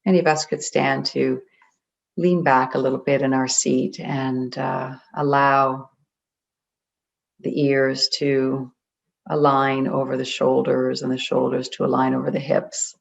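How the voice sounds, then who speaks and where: A meditation guide leading a group in a gentle, deliberate way, leaving long pauses between phrases. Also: medium pitch, little pitch movement, vocal fry. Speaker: meditation guide, spiritual coach. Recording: dull, muffled lo-fi audio.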